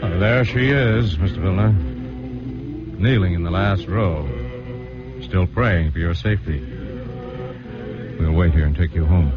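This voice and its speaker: A man playing a steady, compassionate big-town boss in a 1940s-style radio drama, with an American accent. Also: deep voice, measured pace, long pauses. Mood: decisive, gentle. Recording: dull old radio recording with music.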